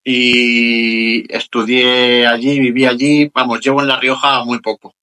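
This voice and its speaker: A man's voice: medium pitch, flat delivery, unhurried, pushed and bright. A man in his early forties with a Madrid accent, giving casual answers as an interview guest. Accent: Madrid Spanish. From Madrid, Spain. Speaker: interview guest, emergency medical technician.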